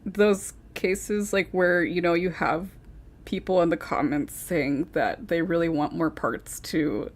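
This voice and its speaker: A young woman hosting a casual panel chat, chatty and quick to laugh, sometimes losing her train of thought. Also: lowish voice. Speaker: panel host.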